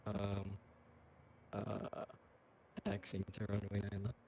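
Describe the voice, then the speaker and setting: A man talking haltingly as a pilot on voice chat in an online flight game. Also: on the low side, monotone. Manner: hesitant. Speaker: pilot player. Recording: quiet gravelly mic with background noise.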